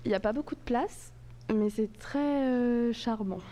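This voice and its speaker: A young woman reporter chatting on a podcast in a relaxed, friendly way, laughing at her own anecdotes. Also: a little high, normal speed, crowd noise. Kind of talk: casual chat.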